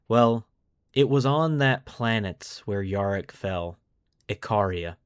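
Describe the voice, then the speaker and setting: A man's voice: fairly low pitch, lively intonation, slow pace, smooth, dry recording. Man hosting a podcast, dry close sound. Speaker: podcast host. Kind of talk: lore storytelling, semi-scripted narration.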